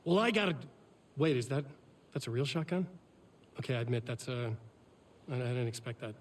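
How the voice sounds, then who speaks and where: A man playing a jealous, cocky villain brother in a video game, with a husky voice and sneering, theatrical delivery. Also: medium pitch, expressive, brisk, recorded from a distance, close to an everyday voice. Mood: flustered. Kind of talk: scripted video game acting.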